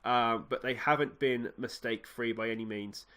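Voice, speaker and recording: A man's voice: medium pitch, moderate pace. Nasal Australian man commentating a game. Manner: rambling, self-correcting, excitable at goals. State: slightly nasal from a cold. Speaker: let's play host. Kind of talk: casual gameplay commentary.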